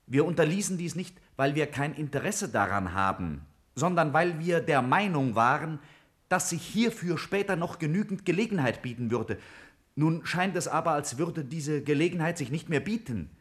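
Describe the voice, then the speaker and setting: A man's voice: on the high side, lively intonation, normal speed, a bit bright. A man playing a polite, articulate scientist in a 1950s German radio play, speaking formally and reasoning carefully. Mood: polite, earnest. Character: courteous, articulate male scientist.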